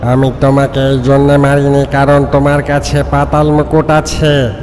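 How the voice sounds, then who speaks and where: A man's voice: mid-range voice, monotone, a little slow, close to an everyday voice. A man playing a bloodthirsty ghost in a cartoon, menacing and gloating, recorded with heavy reverb and noise. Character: threatening bloodthirsty male ghost servant of evil. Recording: noisy, long cavernous reverb.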